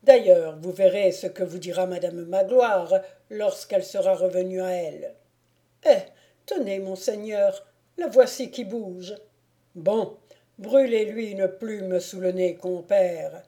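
A woman's voice: medium pitch, slow, warm tone. A woman voicing a courtly, self-assured male lord who spins tales, in a storybook reading.

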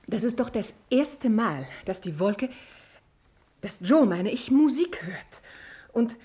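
A woman's voice: fairly high pitch, lively intonation, slow, warm tone. An actress playing a sensitive, modest young woman pianist in a 1950s radio play, hesitant and uneasy in manner. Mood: unsettled. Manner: hesitant, self-correcting.

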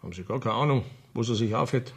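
An actor playing a reassuring, official police commissioner in a radio play. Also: male voice, mid-range voice, husky. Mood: probing. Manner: measured, official, placating. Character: smooth-talking, reassuring male police commissioner. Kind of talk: 1970s German radio play acting.

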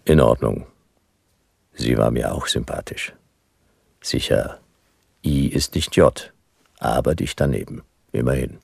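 Casting: a world-weary future gumshoe narrating noir radio drama, extremely low gravelly voice, laconic, close dry sound. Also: male voice, measured pace, pauses often, a little mellow, no room sound, exaggerated voice. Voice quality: gravelly, husky. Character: world-weary male private detective of the future. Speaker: narrator and lead character.

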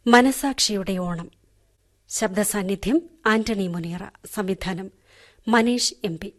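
A woman announcing programme credits in a formal, measured way. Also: medium pitch. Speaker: radio announcer.

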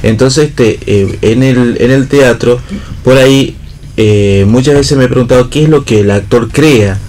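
A man's voice: medium pitch, unhurried, darkish tone. A man with an Argentine accent reflects casually in a radio panel, hesitating often as he searches for words. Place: radio programme with background ambience.

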